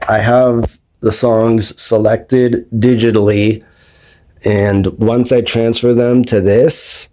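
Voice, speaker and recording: A man talking casually as a video host, explaining things step by step in a rambling, unhurried way. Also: on the low side, mellow. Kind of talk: casual explanatory vlog talk. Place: indoors at home, behind the camera.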